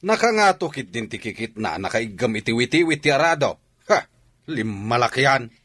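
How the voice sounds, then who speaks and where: A man's voice: mid-range voice, big pitch swings, normal speed, fairly bright tone. An actor playing a stern, angry father in an Ilocano radio drama. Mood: angry, exasperated. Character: stern, angry father disapproving of his child.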